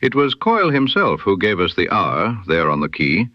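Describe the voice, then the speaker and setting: Deep-voiced man narrating a detective audiobook. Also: wildly swooping pitch, dry recording, highs cut off. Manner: measured, deliberate, theatrical storytelling. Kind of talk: scripted dramatic detective story narration.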